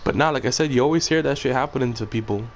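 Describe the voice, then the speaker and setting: Young man, streamer, crude trash talk, casual gaming chat, average pitch, faint background noise. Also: racing. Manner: crude, trash-talking, mocking.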